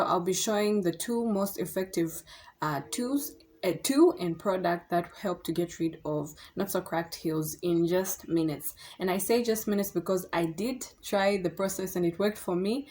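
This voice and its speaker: A woman talks straight to the camera in a casual, chatty way. She wanders off track and corrects herself as she explains things. Her voice sits a little low for a woman. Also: darkish tone. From Zambia. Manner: chatty, rambling, self-correcting. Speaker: beauty vlogger. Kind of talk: casual product review to camera.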